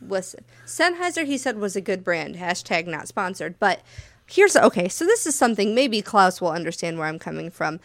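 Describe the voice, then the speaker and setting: Loud, animated woman podcast co-host. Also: mid-range voice, moderate pace, dry recording. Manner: animated, profane storytelling. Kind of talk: casual podcast chat.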